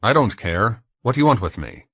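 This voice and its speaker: A man's voice: fairly low pitch, very animated, brisk, a bit bright, slightly rough, no room sound, studio quality, heavily acted. A man voicing a weary, defiant American captive from a novel, in a plain, blunt manner.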